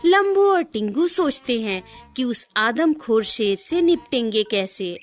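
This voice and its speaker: Female narrator for kids' cartoon tales; high, lively, unhurried, with faint music behind. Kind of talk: scripted children's cartoon story narration.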